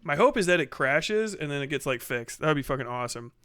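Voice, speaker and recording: Male streamer, slightly high bright voice, loose sweary chat with viewers. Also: lively intonation, fast, clean tone, no echo. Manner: profane, slangy. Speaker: livestream host. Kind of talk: casual livestream chat, reading and answering viewer comments.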